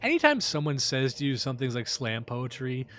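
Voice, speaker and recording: A man's voice: medium pitch, wildly swooping pitch, fairly quick, a bit bright. A man co-hosting a podcast in casual chat, sarcastic and profane, laughing often. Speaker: podcast co-host.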